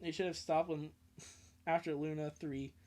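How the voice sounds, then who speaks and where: A man's voice: fairly high pitch, flat delivery, unhurried, darkish tone. A man doing a casual live comic reading with joking asides, rambling and swearing and breaking off mid-sentence.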